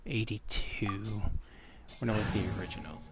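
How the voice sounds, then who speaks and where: A man's voice: on the low side, moderate pace. A man giving casual, rambling gameplay commentary, as if talking to himself. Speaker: game streamer. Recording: loud constant background noise, game ambience.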